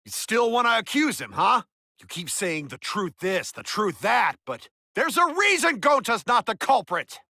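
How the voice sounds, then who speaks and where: A man's voice: high-pitched, sing-song, normal speed, gravelly, no room sound, clean studio sound, full character voice. A male actor playing a hot-blooded, stubbornly loyal young man in a dramatic, brash video game dub. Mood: defiant, insistent. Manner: forceful, emotional, brash.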